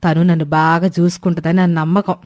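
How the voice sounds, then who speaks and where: Woman playing a scheming elderly mother-in-law, low-voiced. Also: flat delivery, a little fast, darkish tone, a little hiss or hum, lightly acted. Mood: sly, sweet-talking. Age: older. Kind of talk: Telugu moral cartoon story voice acting.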